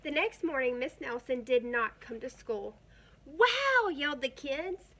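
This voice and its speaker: A woman reading a children's picture book aloud in an expressive storytelling style. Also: sits high, moderate pace. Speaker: storybook reader.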